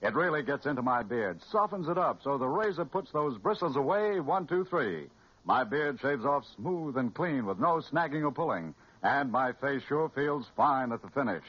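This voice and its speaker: A man's voice: mid-range voice, expressive, normal speed, slightly rough. An American man announcing and reading advertising copy in an emphatic 1940s radio manner. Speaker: radio announcer and commercial reader. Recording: dull, lo-fi vintage radio recording.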